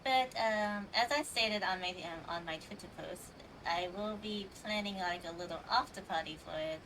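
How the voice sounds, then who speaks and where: A woman's voice: medium pitch, moderate pace, fairly bright tone, slight background noise. A woman game streamer chatting casually on a livestream in a hesitant, rambling way. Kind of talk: casual livestream chat over gameplay. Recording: distant microphone under game music. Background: game music.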